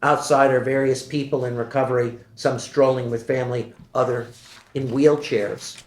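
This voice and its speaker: A man reading stage directions aloud at a screenplay table read, steady and matter-of-fact. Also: mid-range voice, moderate pace, a little hiss or hum. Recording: echoey room.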